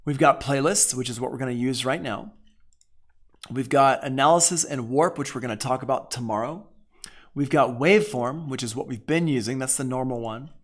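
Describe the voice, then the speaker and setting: A man giving a casual software tutorial as a music production teacher, informal and conversational, thinking aloud. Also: medium pitch, moderate pace.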